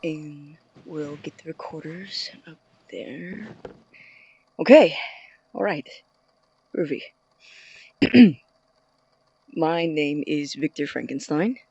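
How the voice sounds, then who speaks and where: An actor playing a driven, self-important young scientist in an audio drama, recording a log in a grandiose, deliberate manner. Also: male voice, fairly high pitch, creaky, a little mellow, husky, no room sound, dull audio, full character voice. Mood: busy, upbeat.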